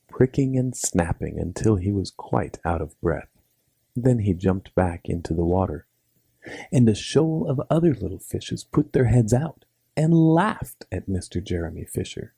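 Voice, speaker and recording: Male storyteller for children's bedtime tales: low, gravelly, scripted narration, recorded dry. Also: mellow, no echo.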